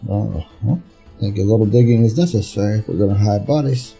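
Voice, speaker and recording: Very mellow, low-voiced man commentating. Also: fast, vocal fry, soft background music. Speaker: gameplay commentator. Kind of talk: casual gameplay commentary.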